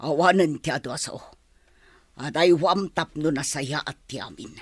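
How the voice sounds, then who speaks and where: A woman's voice: low voice, normal speed, slightly rough, no echo, clean studio sound. A woman playing a stern, bossy madam and mother in a radio drama, commanding and scolding, with music behind her. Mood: stern insistence. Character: stern bossy mother and household madam opposing daughter's romance. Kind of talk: Ilocano radio drama acting.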